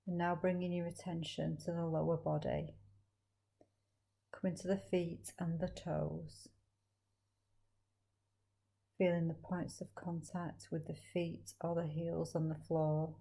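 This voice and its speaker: A woman leading a guided meditation as a teacher, speaking slowly and gently with long, soothing pauses. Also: on the low side, little pitch movement, vocal fry.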